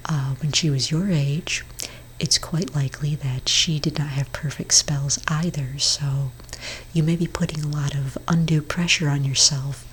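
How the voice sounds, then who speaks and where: Woman, low-pitched, breathy near-whisper; gentle therapist roleplay for ASMR, reassuring and hesitant, faint ambience behind. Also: steady tone, a little slow, slight background noise, poor audio. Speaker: ASMR performer role-playing a therapist. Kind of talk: soft-spoken ASMR roleplay.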